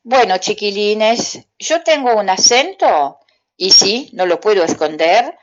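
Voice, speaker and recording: A woman's voice: mid-range voice, lively intonation, slow, lo-fi sound, a little hiss or hum. A woman from Belgium with a Belgian French accent, giving a playful French lesson to children on the radio and quizzing them herself. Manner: chatty, asks children riddle-like questions and answers them herself. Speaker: French teacher on community radio.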